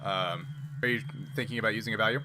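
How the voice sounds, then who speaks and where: A man's voice: lowish voice, fast. Game master man, noisy recording. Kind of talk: narrating and refereeing a tabletop role-playing game. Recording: noisy group recording with room ambience.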